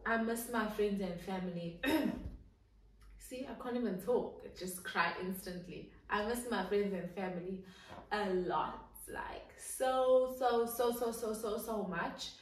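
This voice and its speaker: A woman's voice: mid-range voice, slow pace. A woman in her twenties answering viewer questions in a chatty, giggly vlog with lots of fillers. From South Africa. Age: 20s. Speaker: vlogger. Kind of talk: casual Q and A vlog. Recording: echoey room, distant mic.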